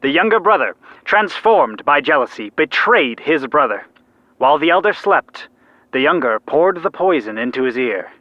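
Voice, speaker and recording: A man's voice: fairly high pitch, very animated, moderate pace, no echo, highs cut off. A man acting an anxious young radio narrator and peacemaker in an audio drama, earnest and dramatic. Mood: dramatic, narrating.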